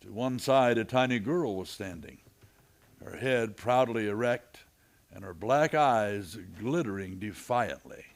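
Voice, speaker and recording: An actor playing a steady, commanding, fair-minded frontier marshal and narrator in a western radio play. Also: male voice, lowish voice, unhurried. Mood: measured narration. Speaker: lead character and narrator.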